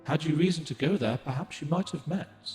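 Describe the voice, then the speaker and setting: A man's voice: fairly high pitch, faint music behind, natural voice. A man voicing a solemn echoing moon guardian. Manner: formal, archaic, gentle and measured. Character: solemn ancient male moon guardian spirit. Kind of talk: game cutscene dialogue.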